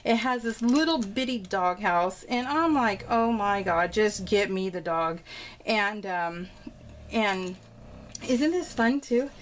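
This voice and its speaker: A woman's voice: medium pitch, lively intonation, takes it slowly, rough recording. A woman chatting casually and informally as she narrates a craft video, telling stories in a rambling way. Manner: rambling, informal storytelling with exclamations. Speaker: craft vlogger showing a scrapbook layout. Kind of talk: casual, chatty real-time craft voice-over. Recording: clear background noise.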